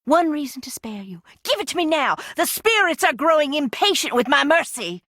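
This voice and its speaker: Unhinged, high, wildly swooping young woman. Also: very animated, normal speed, a bit bright, dry recording, studio quality. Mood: unhinged, menacing. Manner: theatrical, mercurial, giggly, menacing. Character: eccentric, bitter, unhinged younger sister living hidden in a crypt. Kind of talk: video game character acting.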